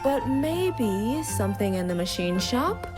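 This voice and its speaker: Woman voicing a measured, composed cartoon director. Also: on the high side, sing-song, over music. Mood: welcoming. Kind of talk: comic dub acting.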